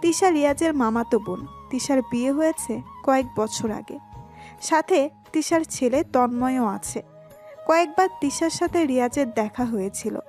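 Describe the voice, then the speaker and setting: Female story narrator, very high voice, scripted romantic storytelling, faint music behind. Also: moderate pace, darkish tone.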